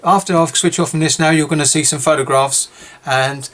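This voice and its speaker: A man's voice: a little high, fairly even intonation, a little fast, a bit bright. A man with a British accent giving a casual, rambling walkthrough of a model kit, often correcting himself, his voice a little worn. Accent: British English. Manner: rambling, self-correcting, chatty. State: losing his voice. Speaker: scale model builder. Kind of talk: casual kit review video.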